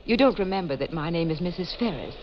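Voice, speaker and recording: An actress playing a solicitous but coolly insistent lady in a 1940s radio drama, measured and firm. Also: fairly low pitch, expressive, lightly acted. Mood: calmly insistent. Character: solicitous, coolly insistent female ship passenger. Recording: muffled, hissy old radio recording.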